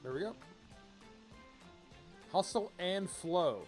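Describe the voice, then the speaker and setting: A man's voice: high-pitched, expressive, takes it slowly. A man giving casual, rambling live commentary as he streams a video game, often repeating phrases as he riffs. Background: game music.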